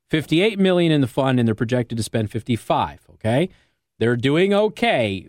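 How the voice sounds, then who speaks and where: A man's voice: medium pitch, no echo, clean studio sound. An American man hosting talk radio, giving opinionated, emphatic commentary in a conversational, argumentative way, often checking in with the listener. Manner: emphatic, argumentative, rhetorical check-ins like "okay?". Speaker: radio talk show host. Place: radio studio.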